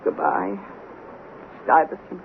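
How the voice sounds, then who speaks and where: An actress playing a stern, principled diner owner, prim and emphatic, scolding in 1970s radio drama style. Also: female voice, takes it slowly, lightly acted. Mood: indignant. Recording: thin phone-like old radio, faint noise.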